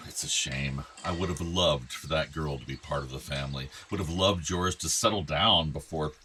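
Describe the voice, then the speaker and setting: Very deep-voiced, weary older father. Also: normal speed, bright, forceful tone, slight background noise. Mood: weary, sad. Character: weary, worried ageing father pleading with troubled son. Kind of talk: naturalistic radio play acting.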